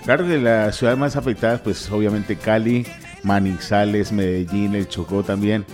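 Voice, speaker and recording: A man co-hosting live radio, commenting conversationally in an earnest, measured way. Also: on the low side, steady tone, darkish tone. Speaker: radio co-host. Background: music behind the voice.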